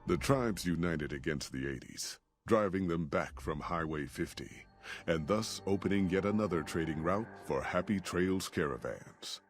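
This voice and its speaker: Deep-voiced male game narrator. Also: moderate pace. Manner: steady, storytelling. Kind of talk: scripted epilogue narration. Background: game music.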